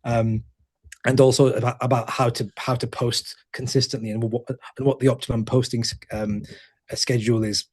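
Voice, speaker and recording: Halting, monotone man presenting. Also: mid-range voice, husky. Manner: halting, with many restarts and repeated words. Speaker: GP giving a webinar talk. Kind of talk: webinar presentation.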